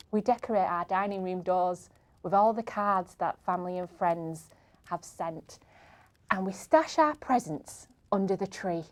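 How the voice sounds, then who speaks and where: A woman reads children's short pieces aloud. Her delivery is gentle, in a soft, unhurried storytelling manner, with a voice of ordinary middle pitch. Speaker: reader. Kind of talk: reading children's writing aloud.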